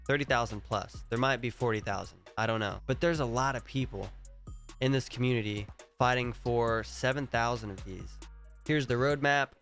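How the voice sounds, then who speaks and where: Stuffy-nosed guy with a cold rambling through his screen, mid pitch, kinda thinks out loud, faint music under it. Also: normal speed. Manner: rambling, restarts, thinks aloud. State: congested from a cold. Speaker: crypto vlogger. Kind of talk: casual screen-share commentary.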